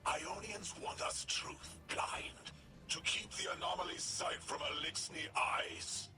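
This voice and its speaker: A man voicing a menacing, zealous alien Archon in a video game, with a hissing, breathy whisper and slow, grandiose, incantatory delivery. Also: a little high. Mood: bitter, fervent. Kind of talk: scripted video game acting. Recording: loud music over the voice.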